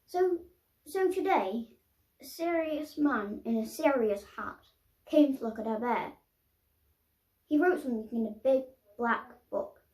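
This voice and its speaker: A woman reading a children's picture book aloud expressively, pausing to explain the pictures. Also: high-pitched, animated, darkish tone. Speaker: storybook reader. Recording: roomy, echoing sound.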